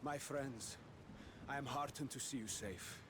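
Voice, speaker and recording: An actor playing a dignified, earnest counselor in a fantasy video game, speaking formally. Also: male voice, mid-range voice, a little slow, background noise, close to an everyday voice. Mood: earnest, pleading. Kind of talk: dramatic video game voice acting. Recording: music behind the voice.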